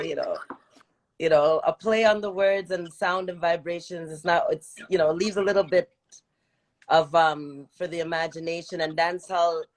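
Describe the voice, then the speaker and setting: A woman hosting a casual live music interview on a radio show, repeating her phrases and interjecting encouragement. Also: fairly low pitch.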